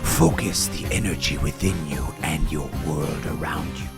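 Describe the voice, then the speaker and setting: A man playing a serene old kung-fu master in a radio drama, instructing in a measured, portentous way. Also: very deep voice, vocal fry, loud music behind the voice. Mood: solemn, mystical.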